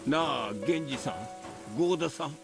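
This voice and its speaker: A middle-aged Kansai-accented questioner in a visual novel. He is insistent, gravelly and high-pitched, with music clearly behind him. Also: brisk. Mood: insistent, questioning. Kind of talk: Japanese visual novel acting.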